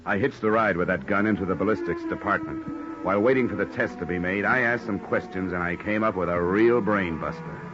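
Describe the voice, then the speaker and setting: An American man acting a wry, hard-boiled insurance investigator in an old-time radio noir drama, deadpan and wisecracking. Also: sits low, brisk, lightly acted. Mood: wry, tense narration. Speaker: actor narrating and playing a detective. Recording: dull lo-fi old radio recording, music behind.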